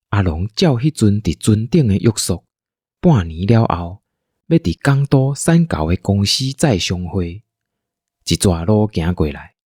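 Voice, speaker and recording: A man with a Taiwanese accent narrating a scripted story as an audio drama narrator, in a husky voice. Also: lowish voice, a little mellow, dry recording, studio quality.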